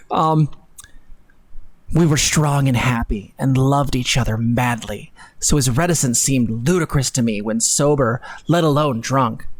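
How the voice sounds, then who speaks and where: A man's voice: mid-range voice, no echo. A young man hosting a live stream, reading aloud dramatically with mocking, sweary, theatrical commentary. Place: home room, indoors.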